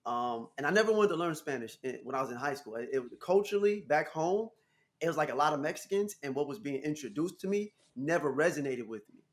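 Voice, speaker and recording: An American man in his thirties, a guest musician telling stories in a casual interview, animated and laughing often. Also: fast. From United States. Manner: animated, laughing, jumps into high voice for quotes.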